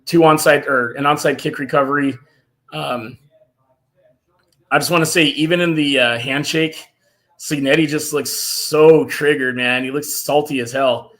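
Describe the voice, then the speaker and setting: A man hosting a casual fan live stream, excited and a little hoarse from cheering, reading out viewer comments. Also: a little high, unhurried. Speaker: fan show host.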